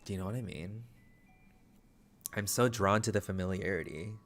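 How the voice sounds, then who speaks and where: Chatty low-voiced young man streaming. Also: moderate pace, soft background music. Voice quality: flat, low-energy delivery. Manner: chatty, self-deprecating, trailing into asides. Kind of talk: casual livestream chat.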